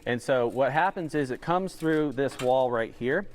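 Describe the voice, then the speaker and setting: A man's voice: mid-range voice, measured pace. A man giving an informal interview as a plant project manager, explaining in a plainspoken, hands-on way.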